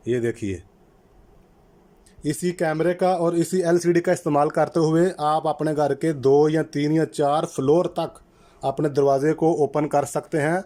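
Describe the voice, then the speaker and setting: A man presenting a methodical, step-by-step installation tutorial in an instructional way, repeating key terms to make them clear. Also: on the high side, dark tone. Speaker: electrician presenting a how-to channel.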